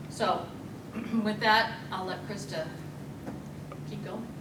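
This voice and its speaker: A woman who directs a victims' advocacy agency, speaking earnestly to an audience with many pauses and fillers. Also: mid-range voice, fairly even intonation. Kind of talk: panel introduction to an audience. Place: hall with a live audience. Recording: echoey room, distant mic, loud hiss.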